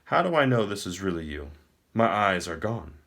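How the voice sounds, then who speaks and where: A man voicing an exhausted, defiant captive prince in a storybook, with a weak, hushed voice. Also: deep voice, big pitch swings, normal speed, creaky, heavily acted. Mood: doubting. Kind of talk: audiobook character acting.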